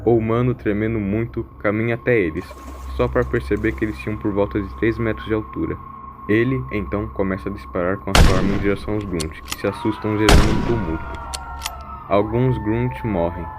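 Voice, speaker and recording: A man's voice: lowish voice, fairly even intonation, a little fast, background noise. A man narrating a scripted science-fiction audio drama as its storyteller.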